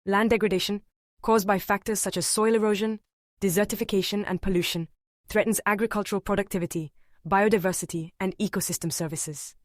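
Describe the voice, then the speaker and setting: A woman's voice: mid-range voice, steady tone, brisk, no room sound, studio quality. A woman reading scripted informational narration. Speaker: AI voice-over narrator.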